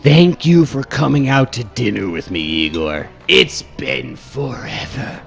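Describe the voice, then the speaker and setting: A man's voice: mid-range voice, animated, measured pace, vocal fry, faint music behind. A man playing an exasperated mad scientist in a live comedy sketch. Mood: weary. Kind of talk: live comedy trailer parody.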